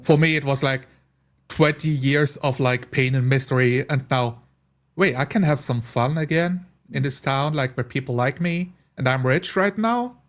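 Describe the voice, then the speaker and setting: A man chatting casually among friends in an animated, joking, sweary way. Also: mid-range voice, normal speed. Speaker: tabletop role-player. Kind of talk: casual group chat.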